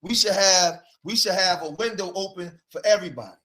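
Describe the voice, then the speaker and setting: Male content creator with a high voice, preaching his point straight to the audience. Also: brisk, pushed and bright. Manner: preachy, addresses viewers directly. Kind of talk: argumentative online talk.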